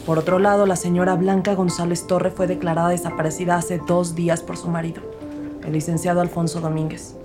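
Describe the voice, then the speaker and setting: An actress playing an earnest rookie woman detective, narrating her case in a measured, formal way in an audio drama. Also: fairly low pitch, little pitch movement, over music, natural voice. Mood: businesslike. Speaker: narrator and lead character.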